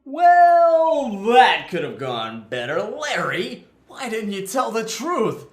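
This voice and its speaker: A woman's voice: on the high side, huge pitch swings, slow pace. A woman doing playful, sarcastic comedic gameplay commentary while dubbing a game. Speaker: let's play host voicing the rookie lawyer.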